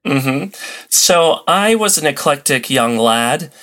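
A man's voice: on the high side, animated, takes it slowly, a bit bright. A man chatting casually as a podcast guest, telling stories in an enthusiastic, playfully dramatic way. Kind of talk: casual chat, storytelling.

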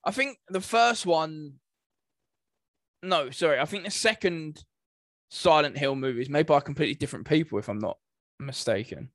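A man's voice: fairly high pitch, normal speed, long pauses, fairly bright tone, no room sound, clean studio sound. A man in casual podcast conversation as co-host, thinking aloud with some hesitation. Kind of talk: casual chat about video game movies.

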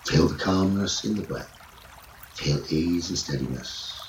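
A man leading a guided sleep meditation as a teacher, speaking in soothing, measured short phrases. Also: low voice. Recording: roomy echo, running water noise behind. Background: trickling stream water nature sounds.

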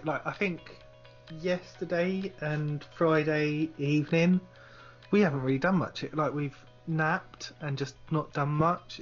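A man's voice: on the high side, lo-fi sound. A man chatting casually on a podcast, searching for his words as he goes. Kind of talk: casual chat.

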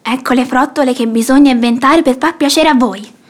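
High-pitched, imperious old matriarch. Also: female voice, a little fast. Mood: scolding, outraged. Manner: haughty, preachy, indignant. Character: imperious, sanctimonious old mother-in-law, scolding matriarch. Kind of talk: theatrical radio play acting.

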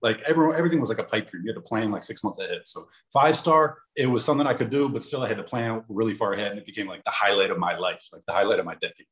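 A man's voice: on the low side, rapid-fire, hardly any pauses, a bit bright, rough recording. A man in his thirties, a former pro basketball player, telling his story in an emphatic, confident way. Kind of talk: casual interview.

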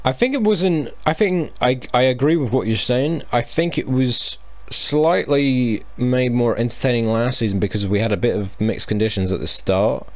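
A man's voice: mid-range voice, normal speed, hardly any pauses. A man hosting a casual sports podcast, chatty and hesitant with lots of fillers and restarts. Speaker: podcast host. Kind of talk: casual chat.

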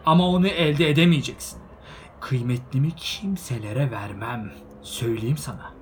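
A man voicing an elderly male hobbit who is possessive and touchy, with shrill outbursts and covetous whispers. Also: lively intonation, fairly quick, a little mellow, a little hiss or hum, lightly acted. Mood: defiant.

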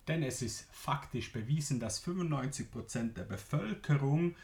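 A young man from Switzerland with a Swiss German accent, hosting a solo business podcast and explaining ideas with lively emphasis and occasional fillers. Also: mid-range voice. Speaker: podcast host.

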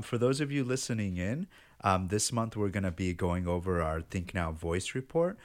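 A man hosting a podcast, talking in a relaxed, friendly way with many ums. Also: sits low. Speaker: podcast host. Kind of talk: casual interview podcast.

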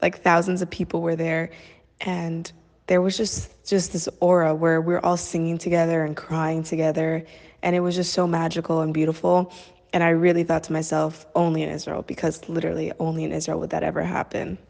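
Low, flat young woman with long reverb. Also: fairly quick, creaky. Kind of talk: casual anecdote.

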